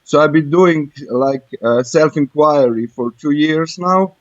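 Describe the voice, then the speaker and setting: A man's voice: a little high, measured pace, no echo. Muffled Croatian-accented man, hesitant caller. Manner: hesitant, groping for words. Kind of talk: casual, personal conversation. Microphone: internet voice call. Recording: dull lo-fi internet call.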